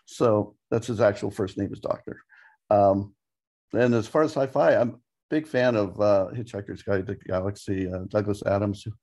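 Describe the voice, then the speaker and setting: A man's voice: on the low side, moderate pace, a little mellow. A man co-hosting a podcast, chatting casually and hesitantly as he thinks aloud in fragments. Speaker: podcast co-host and writer. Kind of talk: casual interview chat.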